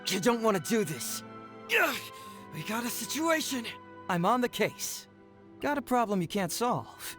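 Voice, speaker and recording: A man's voice: high-pitched, very animated, a little slow, fairly bright tone, heavily acted. A man playing a cheerful, confident and clever young detective in a video game, with playful, self-assured, theatrical phrasing. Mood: urgent, confident. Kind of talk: scripted game voice lines. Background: background music.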